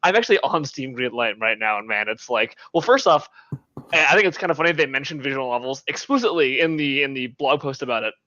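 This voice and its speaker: A man's voice: medium pitch, lively intonation, fast talker, pushed and bright, dry recording, highs cut off. A man in a casual podcast discussion, giving his opinions in a rambling, hedging way. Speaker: podcast co-host.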